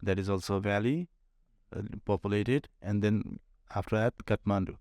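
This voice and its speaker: A man's voice: on the low side, steady tone, normal speed, a little mellow, no echo, clean studio sound. A man with a Nepali accent answering conversationally in an interview, explaining things and often rephrasing as he goes. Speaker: air traffic controller, podcast guest.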